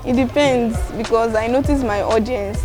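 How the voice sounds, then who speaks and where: A young woman from Nigeria with a Yoruba accent, answering interview questions softly and laughing often. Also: a little high, normal speed, music in the background. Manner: soft-spoken, laughs often, mixes in Yoruba. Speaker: guest vlogger.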